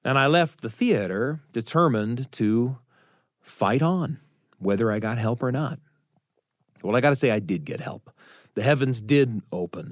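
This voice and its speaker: A middle-aged man narrates a personal story in an earnest, reflective manner, pausing deliberately for emphasis. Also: lowish voice, dry recording, clean studio sound. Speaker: radio storytelling show host.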